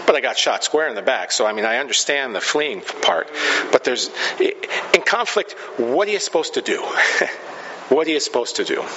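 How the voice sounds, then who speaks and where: A man's voice: medium pitch, sing-song, normal speed, background noise. Guy pastor telling funny stories on himself, long church echo, kinda dull muffled sound. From Pacific Northwest. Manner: self-deprecating, chatty, laughs at his own stories. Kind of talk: storytelling sermon.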